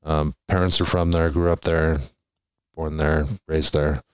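Very deep-voiced man from Hawaii. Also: little pitch movement, a little slow, smooth, dry recording. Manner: soft-spoken, hesitant with many ums. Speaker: guest, army veteran. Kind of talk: interview answers.

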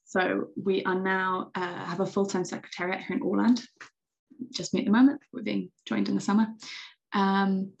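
A woman's voice: medium pitch, little pitch movement, normal speed, vocal fry, lo-fi sound. A young British woman with a British accent, answering podcast interview questions in an enthusiastic, chatty way with frequent ums. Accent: British English. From Britain. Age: young adult. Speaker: secretary general of a youth organization.

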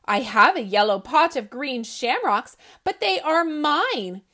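A woman doing a prim, loud storybook goat. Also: sits high, big pitch swings, slow pace, full character voice. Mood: matter-of-fact. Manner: louder, proper and matter-of-fact. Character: odd, polite male goat who eats tin cans.

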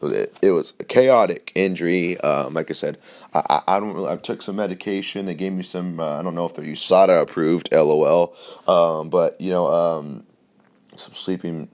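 A man's voice: low-pitched, moderate pace, a little mellow. A young man hosting his own podcast, talking casually and rambling with a scratchy voice from a sore throat, loose and profane, full of fillers and restarts. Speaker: podcast host. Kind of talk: casual solo podcast chat.

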